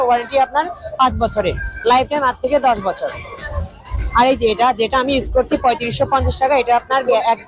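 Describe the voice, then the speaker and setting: High, quick Bangladeshi saleswoman demonstrating. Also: rough recording. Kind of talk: spoken product demonstration. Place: trade fair stall.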